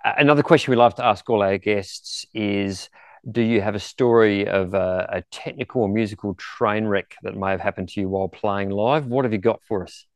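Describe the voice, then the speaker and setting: A man's voice: fairly low pitch, fairly quick, no room sound, clean studio sound. A man hosting an interview, putting questions to a guest in a conversational way. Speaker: podcast interviewer, host.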